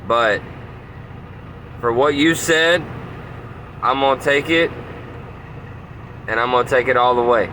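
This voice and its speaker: A man's voice: mid-range voice, a little slow, pauses often. A man, a novice truck driver, chatting casually and respectfully over CB radio. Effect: CB radio transmission. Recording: CB radio chat in clear vehicle-like noise.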